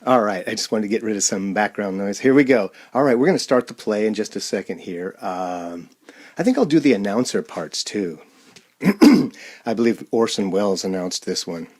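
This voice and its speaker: Male host, fairly low voice, informal and unscripted, stop-and-start delivery. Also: animated, darkish tone. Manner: halting, off-the-cuff. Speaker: host and amateur radio-play performer. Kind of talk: casual introduction to an audience.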